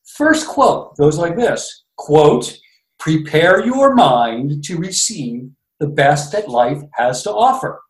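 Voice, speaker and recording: Male host, upbeat and relaxed, a touch high, roomy reverb. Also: slow. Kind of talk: casual talk show hosting.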